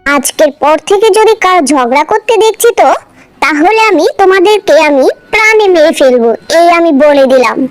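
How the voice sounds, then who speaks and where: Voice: very high-pitched, expressive, normal speed, no echo, faint music behind. An actor playing a stern, exasperated male bird husband in a fairy-tale cartoon, speaking in a commanding, threatening way. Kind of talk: cartoon dialogue acting.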